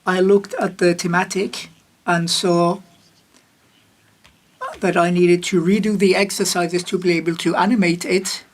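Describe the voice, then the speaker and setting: Flat-voiced, low woman interpreter. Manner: measured, with occasional restarts and self-corrections. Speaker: conference interpreter. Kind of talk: simultaneous interpretation of a panel chair's remarks.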